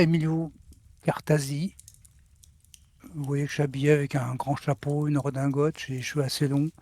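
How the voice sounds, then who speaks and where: A man player introducing his tabletop character in the first person, slowly and deliberately. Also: fairly high pitch, warm tone, no room sound.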